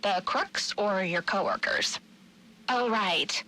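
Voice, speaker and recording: Sardonic teen daughter, crisp forward tone, dry and knowing, cinematic game dialogue. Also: female voice, mid-range voice, slow pace, sharp tone, slight background noise, rough recording. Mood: wry concern. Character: sharp, sardonic fifteen-year-old daughter of a policeman.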